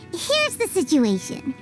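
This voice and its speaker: An actress voicing a tiny childlike fairy companion in a video game, squeaky, excitable, bossy and cutesy. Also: female voice, full character voice. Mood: exasperated. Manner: exclamatory, cutesy, indignant. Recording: loud music under the voice. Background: game background music.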